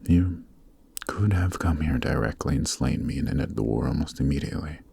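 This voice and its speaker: A calm, noble demon king, acted by a man for an ASMR fantasy roleplay, with an extremely deep and dark voice. He speaks softly and deliberately, close to the mic, slipping toward a whisper. A faint noise sits behind him. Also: exaggerated voice. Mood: persuasive, grave. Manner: measured, soothing, deliberate, breathy near-whisper delivery. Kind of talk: ASMR roleplay, soft dramatic acting.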